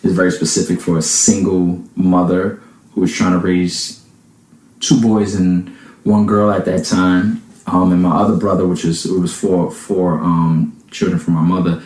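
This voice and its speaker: Low-voiced man in his thirties, echoey. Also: level tone, moderate pace, darkish tone. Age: 30s. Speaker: returning interview guest. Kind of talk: casual chat. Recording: echoey room.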